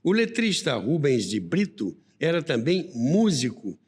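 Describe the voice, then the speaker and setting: A man's voice: fairly high pitch, big pitch swings, measured pace, darkish tone, breathy edge. A Brazilian man hosting and narrating a radio history podcast from a script, with storytelling asides. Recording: long reverberant hall sound.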